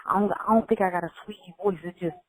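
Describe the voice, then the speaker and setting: A woman rapper from Philadelphia with a Philadelphia accent, answering interview questions in a laid-back, halting, plain-spoken way. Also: on the low side, fairly even intonation. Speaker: rapper guest. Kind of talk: casual interview.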